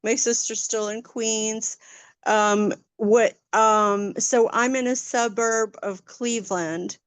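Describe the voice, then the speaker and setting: Woman from New York on a phone video call, slightly high voice, dry sound, halting. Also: fairly even intonation, clear, resonant tone, no room sound. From New York City, United States. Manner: flustered, halting. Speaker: participant. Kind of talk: casual self-introduction.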